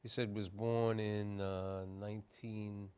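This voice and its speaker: A man's voice: lowish voice, level tone, slow, a little mellow, clean tone. A man hosting a conversational television interview, speaking emphatically and prompting his guest.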